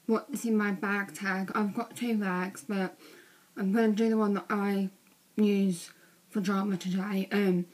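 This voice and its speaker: A teenage girl, chatting casually in a vlog from her bedroom, a bit croaky from being ill, with lots of ums. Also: mid-range voice, fairly even intonation, unhurried, a little mellow. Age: teens. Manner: chatty, rambling with many ums. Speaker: vlogger. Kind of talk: casual vlog showing bag contents. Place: small bedroom indoors.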